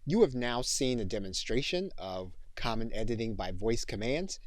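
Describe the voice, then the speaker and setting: A man giving an instructional software demonstration, speaking as a tutor in deliberate, clipped phrases. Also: medium pitch, animated, no echo. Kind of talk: instructional demonstration with dictated commands.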